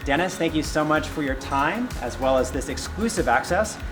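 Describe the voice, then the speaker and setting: A man's voice: on the high side, lively intonation, moderate pace. A man hosting a guided tour documentary, presenting to camera in a chatty, playful way. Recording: echoey hall reverb, music behind.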